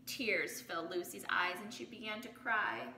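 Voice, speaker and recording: Teacher lady reading a kids book, bright highish voice, explains stuff, roomy living room sound. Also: normal speed, vocal fry. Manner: expressive, explanatory, addressing children. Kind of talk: children's picture book read-aloud with commentary. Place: small living room, indoors. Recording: roomy home recording with background noise.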